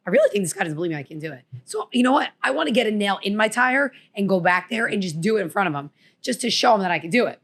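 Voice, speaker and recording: A woman's voice: medium pitch, sing-song, racing, a bit bright. A woman from New Jersey with a New Jersey accent, co-hosting a podcast and telling a story in casual chat, acting out the voices she quotes. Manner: chatty, acts out quotes. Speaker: podcast co-host.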